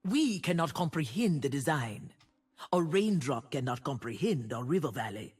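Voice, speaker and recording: Fierce game warrior priestess, rough husky voice, big swings in pitch, bossy teacher type. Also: female voice, sing-song, normal speed, a little mellow, heavily acted. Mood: defiant, solemn. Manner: bold, declarative, commanding. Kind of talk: video game character voice lines.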